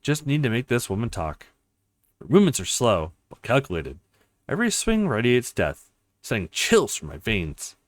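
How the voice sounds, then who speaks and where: A man's voice: mid-range voice, wildly swooping pitch, normal speed, no room sound, clean studio sound. A man voicing a determined, brooding young male fighter with a sarcastic streak, in a visual novel read-aloud. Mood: tense, brooding.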